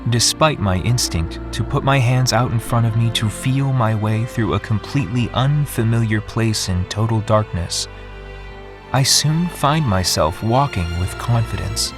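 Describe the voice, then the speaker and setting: Low-voiced, solemn male researcher narrating. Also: lively intonation. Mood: solemn, tense. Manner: measured, contemplative. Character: solemn, introspective male researcher recounting his ordeal. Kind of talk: scripted first-person dramatic narration. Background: music.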